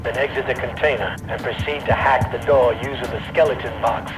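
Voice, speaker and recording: A controlled mission commander, played by a man with a mid-range male voice gives measured, authoritative instructions. The sci-fi audio drama has music playing clearly behind him. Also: medium pitch, fairly even intonation, close to an everyday voice, low-quality recording. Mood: urgent, exacting. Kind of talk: full-cast sci-fi audio drama acting.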